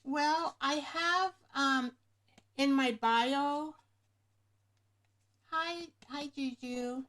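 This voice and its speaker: A woman chats through a craft livestream in a slightly higher voice, taking her time and often pausing to find the right word. She speaks slowly and casually at her desk at home. Her voice is picked up by a webcam microphone above her screen. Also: a bit bright. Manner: rambling, searches for words.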